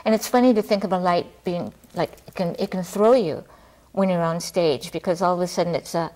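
Low-voiced woman in casual studio chat. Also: steady tone, a little fast, darkish tone, low-quality recording. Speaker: theater director, talk show guest. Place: TV studio, seated at a table.

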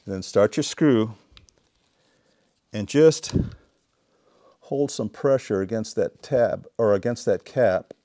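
A middle-aged man talks through a repair in a casual way. His voice sits fairly low and has a relaxed, dark tone. He explains deliberately, one step at a time. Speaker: DIY repair demonstrator. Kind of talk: casual hands-on tutorial narration. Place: indoor home workshop.

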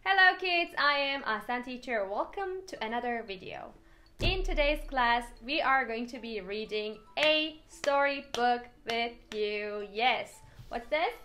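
A young woman with a Turkish accent reads a picture book to small children in a sing-song, playful voice. She speaks very slowly and sits fairly high in pitch. Faint music plays behind her in a small room. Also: sharp tone. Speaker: English teacher. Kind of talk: reading a picture book aloud to toddlers.

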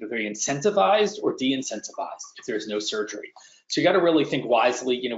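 A middle-aged man hosting a webinar on a video call, talking conversationally with many ums and restarts. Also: mid-range voice. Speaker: webinar host. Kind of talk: presenting and interviewing. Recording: roomy, dull video call audio.

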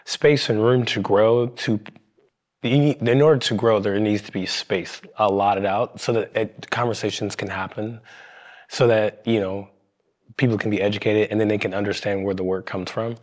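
A young man from Ohio, a podcast guest, telling a personal story in a halting way with many restarts, seated on a studio set. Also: on the low side, fairly even intonation, a little mellow. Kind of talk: candid storytelling.

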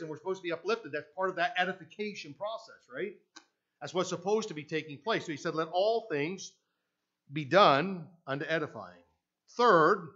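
An older Canadian man preaches in a church sanctuary, his voice sitting high for a man. He talks in a loose, conversational way, restarting phrases and checking in with "right?", often walking away from the podium mic. The recording is a little dull and lo-fi. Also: a bit bright. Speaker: pastor. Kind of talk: sermon. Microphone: podium mic, speaker often walking away from it.

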